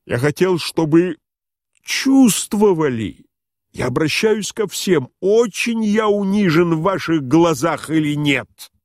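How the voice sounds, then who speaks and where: A man voicing a drunken, humiliated high-ranking general in an audiobook, pompous and pleading, with halting, repetitive speech. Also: wildly swooping pitch, darkish tone, husky, no echo, studio quality, full character voice. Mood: tearful pleading.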